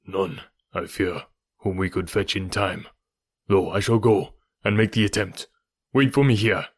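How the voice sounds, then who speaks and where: A man's voice: sits low, steady tone, unhurried, husky, dry recording, clean studio sound. A man reading aloud voices a grave, loyal warrior ally who speaks formally and solemnly. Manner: solemn, formal archaic speech.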